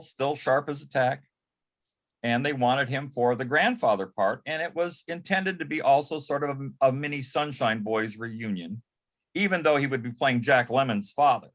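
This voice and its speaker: A man speaking as a panel guest, reminiscing in a conversational, anecdotal way. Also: mid-range voice.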